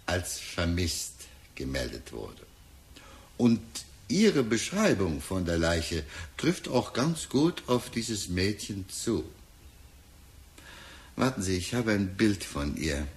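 An actor playing a talkative, sly police detective in a radio drama, deliberate and insinuating, with falsely sympathetic musing. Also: male voice, on the low side, full character voice. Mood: smug, teasing. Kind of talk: German radio drama acting.

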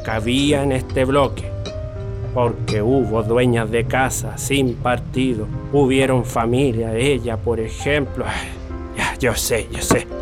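A man's voice: medium pitch, natural voice. Actor playing a veteran Chilean union man, music behind. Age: older. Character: veteran Chilean communist union leader, earnest and talkative. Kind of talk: radio drama acting.

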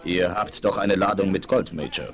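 An actor playing a composed, decisive frontier hero in a 1970s German Western radio play, speaking in a measured, purposeful way. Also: male voice, low-pitched.

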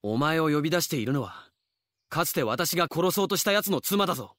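An actor playing a proud, curt, jealous husband of rank in a Japanese audio drama. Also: male voice, a little high, lively intonation, fairly quick, fairly bright tone, dry recording, studio quality. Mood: irritated, protective. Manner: curt, brusque, sulky.

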